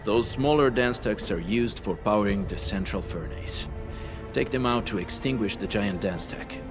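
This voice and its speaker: Man playing a deep-voiced, grave warrior. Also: normal speed, over music, lightly acted. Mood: grave, explanatory. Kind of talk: video game voice acting.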